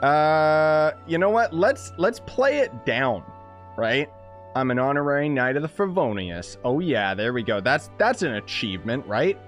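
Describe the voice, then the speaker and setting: A man gives casual, joking commentary over a video game he is playing. His voice bounces around lively and animated. Faint game music sits behind him. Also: a little high, unhurried. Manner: playful, joking, chatty. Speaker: gaming streamer.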